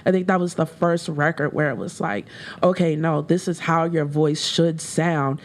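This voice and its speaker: Woman, deeper voice, low pitch, candid, reflective, interview guest, casual. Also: normal speed. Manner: candid, reflective, with laughs and many fillers. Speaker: rapper, guest.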